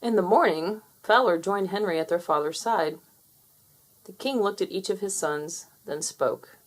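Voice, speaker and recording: A woman's voice: mid-range voice, measured pace. A woman narrating a children's storybook aloud, inviting young listeners to guess what happens. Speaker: storybook reader.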